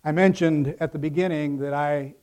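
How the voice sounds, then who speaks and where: A man raised in Ecuador by American parents preaches as a pastor, speaking deliberately in emphatic phrases that trail off softly. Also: on the high side, fairly even intonation. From Ecuador, raised by American missionary parents. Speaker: pastor preaching to his congregation. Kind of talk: sermon.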